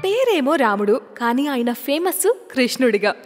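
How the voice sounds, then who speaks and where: A woman's voice: high voice, big pitch swings, moderate pace, music in the background. Chatty woman radio jockey with reverb. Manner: chatty, asks listeners questions. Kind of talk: casual radio show hosting.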